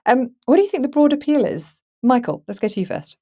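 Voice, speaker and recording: A woman hosting a conversational film podcast, chatty and animated in the way she tells things. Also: high-pitched, quick pace. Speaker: podcast host and moderator.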